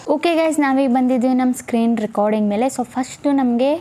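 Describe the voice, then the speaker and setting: A woman's voice: sits high, fairly even intonation, fairly quick, warm tone. A woman presenting a casual step-by-step tutorial, instructing and often checking in with listeners. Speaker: tutorial host.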